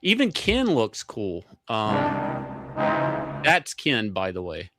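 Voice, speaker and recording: A man's voice: fairly low pitch, wildly swooping pitch, extremely slow, pushed and bright, dry recording, studio quality, faint music behind. A man co-hosting a livestream, giving casual, slangy, profanity-laced reaction commentary. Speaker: livestream co-host.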